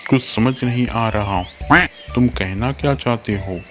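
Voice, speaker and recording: A man voicing a boastful but secretly cowardly lion king in an animated moral story, with a pompous, blustering manner. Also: medium pitch, unhurried, natural voice. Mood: puzzled, haughty. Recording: music behind the voice.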